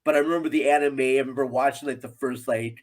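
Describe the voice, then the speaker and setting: A man's voice: mid-range voice, fairly even intonation, fast. A man chatting casually about films as a podcast guest, rambling and enthusiastic. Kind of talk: casual film discussion.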